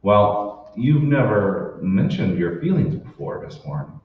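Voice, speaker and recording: A man's voice: fairly low pitch, big pitch swings, measured pace. A man playing a troubled, suspicious husband in an old-time radio drama, convinced his world is fake. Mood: weary, reserved. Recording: echoey hall, distant mic, dull, faint noise.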